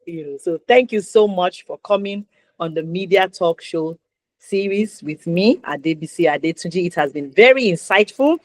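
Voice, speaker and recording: A woman's voice: on the low side, normal speed, no room sound. A woman with a Nigerian accent hosting a conversational interview show, encouraging and emphatic, repeating points for stress. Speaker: talk show host.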